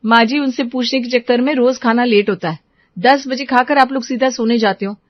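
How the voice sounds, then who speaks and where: A woman's voice: fairly high pitch, fairly quick, no room sound, highs cut off, lightly acted. A woman voicing a strict, fed-up young daughter-in-law, firm and lecturing, in a family story.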